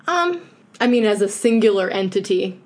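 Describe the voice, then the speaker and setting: A woman co-hosts a podcast in relaxed, casual conversation. She talks in a chatty, gushing way and strings her sentences together with plenty of "like"s. Her pitch sits in the middle range for a woman. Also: normal speed. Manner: chatty and gushing, many filler likes. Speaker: podcast co-host. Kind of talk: casual chat.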